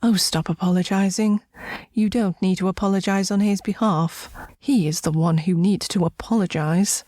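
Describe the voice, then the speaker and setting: A woman's voice: medium pitch, steady tone, normal speed, warm tone, dry recording, natural voice. A woman playing an indignant, no-nonsense alien crewmate in an audio drama, curt and scolding.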